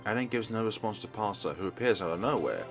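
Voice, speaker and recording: A man with a low voice reads a visual novel aloud for a let's play. His delivery is dramatic and varied in pitch, with clear game music behind him. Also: lively intonation, fast.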